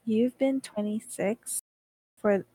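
A woman's voice: mid-range voice, slow pace, dark tone, rough recording. A woman in her twenties co-hosting a podcast, teasing her friends, giggling and asking the group questions. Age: 20s. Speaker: podcast co-host. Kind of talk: casual chat.

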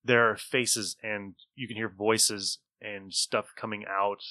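A man acting as game master, narrating a tabletop adventure in an informal, halting way. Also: fairly low pitch, flat delivery, sharp tone, dry recording. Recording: music under the talk.

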